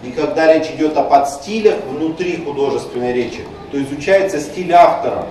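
A man lecturing from a distance in a very echoey hall, with clear noise behind him. He repeats key terms slowly and breaks into chatty asides. His voice sits slightly higher than most men's. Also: a little mellow. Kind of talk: university lecture. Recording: far-off mic in an echoey lecture room with noise.

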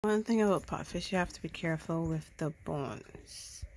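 A woman's voice: low-pitched, normal speed, faint music behind. A woman chatting casually and curiously as a vlog host. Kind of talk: casual food vlog chat. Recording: very loud outdoor and vehicle noise.

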